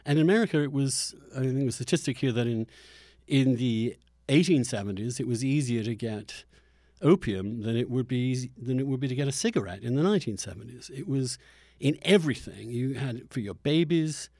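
A man's voice: medium pitch, expressive, a little fast, darkish tone, no echo, clean studio sound. A middle-aged man hosting a conversational podcast interview, giving opinions emphatically and often restarting his phrases. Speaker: podcast host.

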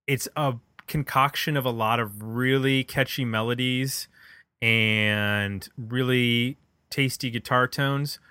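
A male podcast host with a bright, forward voice. He talks casually and conversationally, thinking aloud as he reviews music. The recording is close and dry, with very little room around him. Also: medium pitch, fairly even intonation, takes it slowly, smooth, dry recording.

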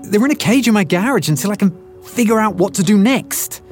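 An actor playing an uneasy, earnest research scientist with a hesitant way of talking, in an audio drama. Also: male voice, high voice, lively intonation, fairly quick, faint music behind. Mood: worried. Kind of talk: full-cast audio drama acting.

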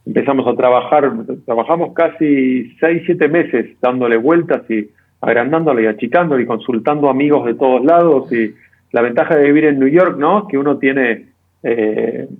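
A man's voice: medium pitch, normal speed. Argentine man on a tinny, phone-like line. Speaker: interview guest, documentary director. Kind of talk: conversational interview answers. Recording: tinny, narrow phone-like call audio.

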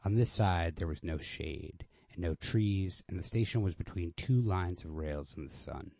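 A man with a low, mellow voice, recorded close and dry. He sounds informal and unrehearsed, like a host casually reading a story aloud. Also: normal speed, vocal fry, no echo. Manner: informal, self-deprecating, unrehearsed.

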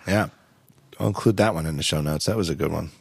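A slightly hoarse man hosting a podcast in casual chat, rambling with frequent restarts and self-corrections. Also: very deep voice, fast talker, no room sound, studio quality. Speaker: podcast host.

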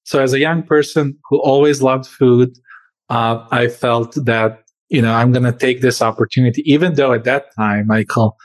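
A man's voice: medium pitch, fairly even intonation, moderate pace. A man who grew up in Serbia answers interview questions as a company founder, speaking earnestly with frequent fillers and shifts in pace.

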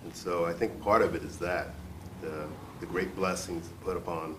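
Man, very low voice, halting delivery, distant noisy recording. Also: fairly even intonation. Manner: halting, searching for words. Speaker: discussion participant. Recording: distant mic, clear noise.

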